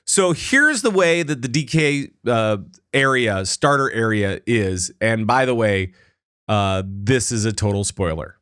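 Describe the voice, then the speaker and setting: Animated man retelling a game, dry mic. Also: on the low side, unhurried, clear, resonant tone, no echo. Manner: animated storytelling, acts out characters' lines. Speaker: podcast co-host. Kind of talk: casual gaming podcast chat.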